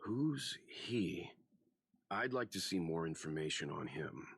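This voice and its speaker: A cool, serious young man in an anime-style game. His voice is very low and husky with heavy creak, delivered deadpan and terse. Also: slow, dry recording, clean studio sound, exaggerated voice.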